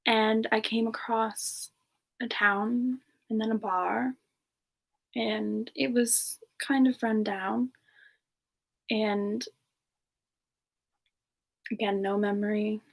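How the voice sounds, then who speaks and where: A woman playing a gentle, polite frost demon with no memory, speaking softly and hesitantly in an in-character ASMR-style Q&A. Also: fairly high pitch, fairly even intonation, very slow, gravelly, pauses often, full character voice. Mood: hesitant, wistful. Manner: hesitant, soft-spoken, slips into whispers. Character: gentle, polite amnesiac frost-powered demon woman, reborn from long ago. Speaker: streamer answering viewer questions in character. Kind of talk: semi-ASMR in-character Q&A, soft improvised chat.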